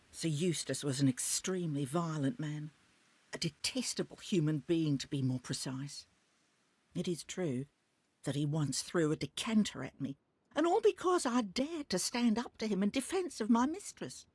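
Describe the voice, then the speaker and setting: Fiercely loyal maid with swooping delivery. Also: female voice, fairly low pitch, darkish tone, no echo. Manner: emphatic, dramatic, blunt. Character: fiercely loyal, outspoken lady's maid, bitter and indignant. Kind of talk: video game drama acting.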